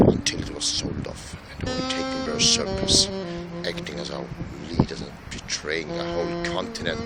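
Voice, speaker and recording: An Austrian man, a native German speaker, delivering a solemn, grandiose, halting monologue with emphatic repetition, outdoors on a city square. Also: on the low side, rough recording. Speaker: speaker in a self-made video statement. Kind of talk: impassioned declamatory monologue.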